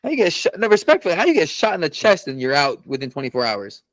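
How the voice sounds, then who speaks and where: A man's voice: on the high side, wildly swooping pitch, fast, fairly bright tone, dry recording. A man in his thirties guesting on a sports podcast, joking and bantering. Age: 30s. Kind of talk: casual sports chat.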